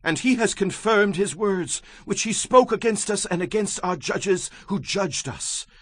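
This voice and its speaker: An anguished, husky-voiced prophet at prayer. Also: male voice, sits high, expressive, a little slow, a bit bright, no room sound, heavily acted. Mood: anguished pleading. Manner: impassioned, solemn, emphatic. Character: anguished Hebrew prophet, fervently pleading with God in prayer. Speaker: actor in a dramatized audio Bible. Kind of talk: dramatized scripture reading.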